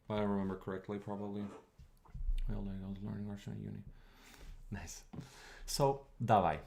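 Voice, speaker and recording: A man's voice: fairly low pitch, flat delivery, moderate pace, creaky, darkish tone, slight background noise. A young man casually teaching old Russian letters on a livestream, thinking aloud and switching between English and Russian words.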